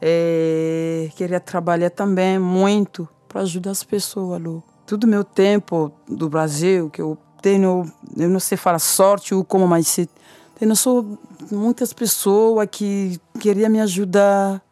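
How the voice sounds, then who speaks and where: A woman in her forties with a Congolese French accent, speaking slowly and haltingly as she hunts for words in her second language. Her voice sits low and mellow. The recording is close and dry. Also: steady tone, no room sound, faint music behind. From Kinshasa, Congo. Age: 40s. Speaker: interviewee. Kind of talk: personal testimony.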